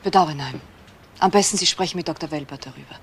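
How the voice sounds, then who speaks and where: A shaken, bereaved fiancée. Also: female voice, low-pitched, quick pace, slight background noise. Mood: subdued, guarded. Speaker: actor. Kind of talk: crime drama dialogue.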